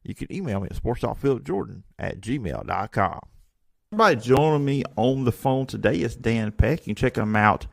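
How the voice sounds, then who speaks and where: A man hosting a casual sports talk show from a studio, chatty and energetic. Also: medium pitch, vocal fry, no echo. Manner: energetic, chatty, quick restarts. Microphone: studio microphone.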